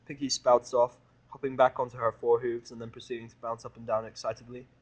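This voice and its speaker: A man's voice: medium pitch, flat delivery, fast, rough recording. A man reading a first-person story aloud as dramatic narration for a fan audio drama.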